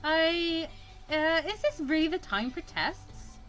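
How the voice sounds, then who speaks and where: Woman voicing a panicky, high-pitched student girl. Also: expressive, slow, fairly bright tone, soft background music, full character voice. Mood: alarmed. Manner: stammering, breathless exclaiming. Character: anxious, easily panicked young female student mediator.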